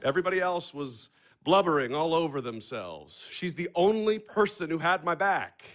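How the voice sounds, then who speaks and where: A sardonic American man in his thirties, talks in a fairly high voice. He is blunt, dry and needling, as in a naturalistic audio play. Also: sing-song, moderate pace, a bit bright. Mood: wry, frank. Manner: dry, needling, matter-of-fact. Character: blunt, sardonic money manager, adopted son. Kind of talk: naturalistic stage-play acting.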